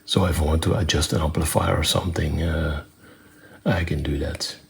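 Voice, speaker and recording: A man with a very low voice and a creaky edge walks through an electronics demonstration. He explains it step by step in a plain, matter-of-fact manner. His phrasing is halting and unscripted. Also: fairly even intonation, slight background noise. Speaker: presenter demonstrating own electronics project.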